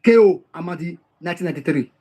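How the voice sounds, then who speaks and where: A man with a notably high voice works through a history text. He reads a passage, stops to explain it in plain terms, and says key lines twice to drive them home. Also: animated, very fast, warm tone, breathy edge. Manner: reads quoted passages then explains them, repeats key phrases for emphasis. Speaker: history commentator.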